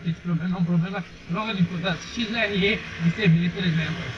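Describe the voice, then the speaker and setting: A man's voice: high-pitched, fairly even intonation, a little fast. A young Brazilian man chatting casually to his viewers while riding a motorcycle on a dirt road, recorded on a helmet camera. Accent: Brazilian Portuguese. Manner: chatty, addresses viewers as "rapaziada". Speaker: motovlogger. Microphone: helmet-mounted action camera. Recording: muffled helmet camera with engine and wind noise.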